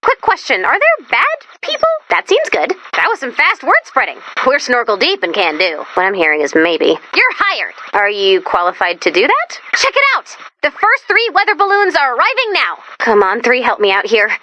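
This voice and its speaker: A woman's voice: fairly high pitch, huge pitch swings, moderate pace, few pauses, pushed and bright, no echo, exaggerated voice. A woman voicing a perky, quirky female robot helper in a video game, chirpy and encouraging as she guides the player. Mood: quirky, excitable. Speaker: mission guide. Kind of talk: video game tutorial voice lines.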